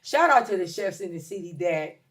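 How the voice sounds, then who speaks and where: A woman in her late forties, a chef and caterer talking as a guest in a casual podcast interview, emphatic and lively, with many ums. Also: on the low side, brisk. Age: 40s. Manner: lively, emphatic, with shout-outs and filler ums.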